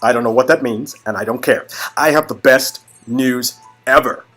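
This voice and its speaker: A man voicing an excitable, flamboyant young Hollywood socialite best friend in a gushing, dramatic way, read from a story game. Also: medium pitch, moderate pace. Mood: gushing, thrilled. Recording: music in background.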